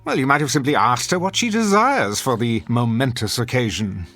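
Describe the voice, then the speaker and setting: A haughty, misanthropic man of the theater in a radio drama, with a bright, forceful voice that swings widely in pitch. His delivery is pompous and acerbic, and faint music plays behind him. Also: lively intonation, moderate pace. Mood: sardonic, scornful. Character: haughty, sardonic, misanthropic male theater critic and husband.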